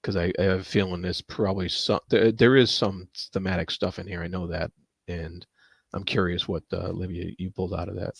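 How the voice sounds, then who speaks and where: Man, fairly low voice, dry close sound, casual book-club talk, halting with repeated words. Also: steady tone, vocal fry, husky, no echo. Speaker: panelist in a book discussion.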